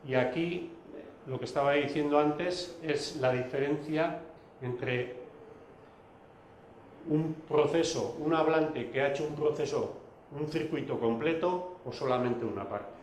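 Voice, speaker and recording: A man whose first language is Basque, giving a recorded video lecture, pausing often with fillers and restarts. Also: a little high, reverberant, slight background noise, poor audio. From Basque Country. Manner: hesitant, many fillers and restarts.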